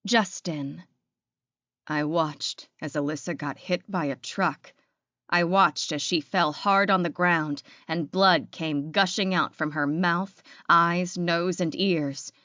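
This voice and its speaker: Low-pitched woman narrating dramatic fiction. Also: fairly even intonation, takes it slowly, sharp tone, no room sound, muffled recording. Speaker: audiobook narrator.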